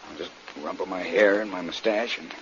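An actor playing a wry, unflappable colonel in an old-time radio drama, speaking in a dry, casual, understated way. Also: male voice, low-pitched, fairly even intonation, brisk. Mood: wry, calm. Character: wry, unflappable male colonel hunting spies. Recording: dull, noisy vintage radio recording.

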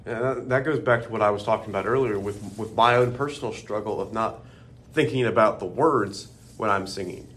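A man with a deep bass voice co-hosts a religious podcast. He talks in a friendly, casual way and often checks in with the listener. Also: a little fast, a bit bright. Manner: friendly, conversational, checks in with "right?". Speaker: podcast co-host.

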